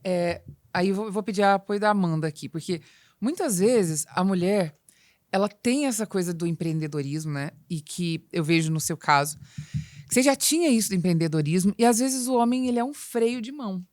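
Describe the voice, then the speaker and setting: A woman's voice: mid-range voice, lively intonation, fairly quick, darkish tone. A woman with a Brazilian Portuguese accent, interviewing guests conversationally as a podcast host.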